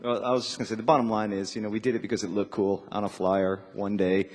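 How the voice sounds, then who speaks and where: A man in a band chatting casually in an interview on stage, halting and repeating himself. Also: lowish voice, flat delivery. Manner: halting, repetitive. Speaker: band member being interviewed. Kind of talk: casual chat. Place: on a theatre stage. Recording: echoey theatre hall.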